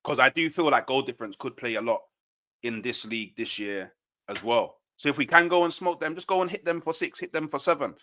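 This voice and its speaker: A man's voice: medium pitch, brisk, pushed and bright, a little hiss or hum. A man in casual, slangy football banter as a fan panellist, often tagging his points with "innit". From England.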